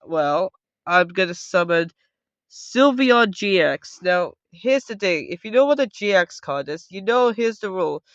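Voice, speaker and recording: A man hosting a casual, unscripted how-to video, talking loudly and rambling as he corrects himself. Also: sits high, expressive, measured pace, dry recording. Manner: loud, rambling, self-correcting. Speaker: host of a card game tutorial video. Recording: dull, lo-fi sound.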